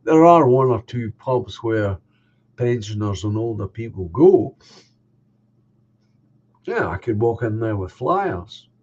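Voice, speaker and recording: Older male stream host, nasal and congested, low husky voice, dull lo-fi sound. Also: very animated, a little mellow. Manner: unhurried, chatty, answers comments one by one. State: coughing, a bit congested. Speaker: livestream host reading viewer comments. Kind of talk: casual chat.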